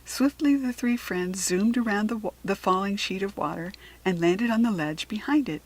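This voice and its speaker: Casting: American woman, storybook narrator, mid-range voice, careful and expressive scripted reading for children. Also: medium pitch, moderate pace, rough recording.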